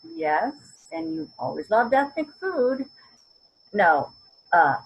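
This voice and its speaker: Woman doing an old mom in her 80s, warm idealist, stage reading, muffled video call sound. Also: fairly high pitch, big pitch swings, takes it slowly, creaky, husky, heavily acted. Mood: warm, hopeful. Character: warm, idealistic eighty-three-year-old mother taking up a humanitarian mission. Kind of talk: readers theater scene. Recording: dull, noisy home video call.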